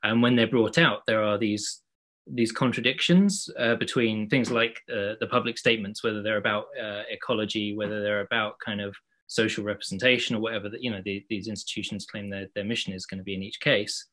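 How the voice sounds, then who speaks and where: A man's voice: on the low side, fairly even intonation, brisk, a bit bright. A man in his forties speaking on an online panel from a home room over a laptop mic, thoughtful and often repeating words as he searches for them. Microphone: laptop mic on video call.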